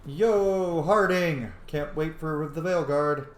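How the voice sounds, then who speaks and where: A man's voice: a little high, takes it slowly. A man doing informal, sweary game commentary, dropping in and out of role-play. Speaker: gaming video host and role-player. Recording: roomy echo, faint game noise behind. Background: game ambience.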